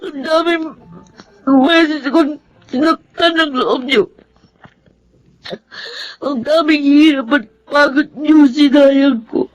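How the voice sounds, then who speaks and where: Radio drama schoolboy: Filipino, impulsive yet remorseful, very high youthful pitch, halting and emotional. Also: low-quality recording. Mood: tearful, remorseful. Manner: emotional, stammering when confronted. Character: troubled adopted schoolboy, impulsive but remorseful.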